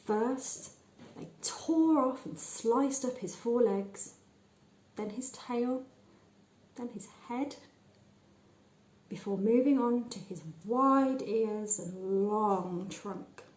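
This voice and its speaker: A woman narrates a short story in a soft, mellow tone. She takes her time, leaving deliberate pauses and shaping phrases expressively. The recording has a noticeable room echo. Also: a little high. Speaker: story reader.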